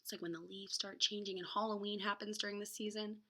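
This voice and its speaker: Bright-voiced woman reading a children's storybook. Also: medium pitch, level tone, fast talker. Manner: dramatic emphasis, gasps and exclamations. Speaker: children's picture book reader and teacher. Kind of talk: expressive storybook read-aloud with casual chatty asides to children.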